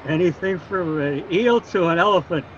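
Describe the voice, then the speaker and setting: An actor playing a tipsy, rambling street drunk in an old-time radio drama, chuckling and muddled. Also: male voice, sits high, moderate pace, lo-fi sound, a little hiss or hum, natural voice. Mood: boozy, amused.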